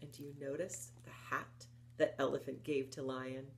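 A woman in her fifties presenting story time for young children, reading and explaining in an expressive, encouraging manner. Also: rumbling low, normal speed, vocal fry, a little hiss or hum. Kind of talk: children's read-aloud and instruction. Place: small furnished room at home, indoors.

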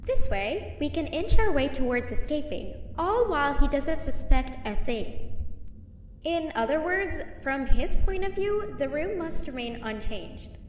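A woman voicing an eager, chatty young female cartoon creature in a video game, nervously scheming and very expressive. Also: high-pitched, measured pace, slight background noise. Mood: hushed, scheming. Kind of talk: video game character dialogue. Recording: echoey, distant room, music behind.

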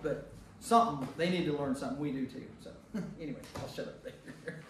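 A man's voice: on the high side, fairly quick. An American man, a pastor leading an informal Bible study, talking conversationally and repeating phrases for emphasis. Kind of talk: informal group teaching and discussion. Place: echoey indoor group meeting room. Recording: echoey room, distant mic, faint noise.

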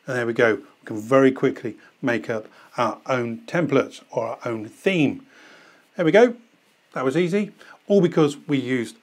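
A husky-voiced man in his forties talks through a software tutorial one click at a time, thinking aloud as he goes. His voice sits at an ordinary middle pitch for a man. He is recorded in a studio on a lapel mic. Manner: relaxed step-by-step, thinking aloud while clicking. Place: studio set with desk and laptop.

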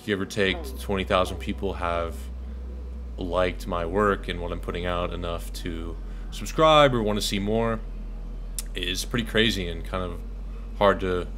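A young man from Dallas, Texas, a videographer, talking casually to camera in a monotone, rambling way with lots of ums. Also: low-pitched, measured pace. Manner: laid-back, rambling with many ums. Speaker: videographer and channel host. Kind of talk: casual thank-you vlog to camera. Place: small pink-lit bedroom, indoors.

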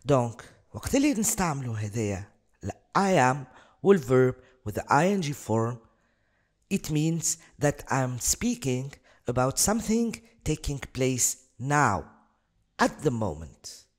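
A woman's voice: rumbling low, sing-song. A Tunisian woman, a native Arabic speaker with a Tunisian accent, teaching a revision lesson patiently and repeating examples. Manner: explains patiently, repeats examples, bursts of fast speech. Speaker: English teacher. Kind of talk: exam revision lesson over slides.

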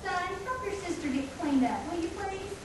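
A woman playing a patient, gently teasing frontier mother in an amateur puppet show, speaking properly. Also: very high-pitched, lively intonation, moderate pace. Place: puppet show in a hall, far camera. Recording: echoey room, distant mic, noisy.

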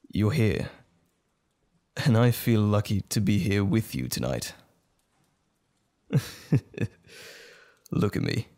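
Man acting as nervous romantic date, lively intonation. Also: on the low side.

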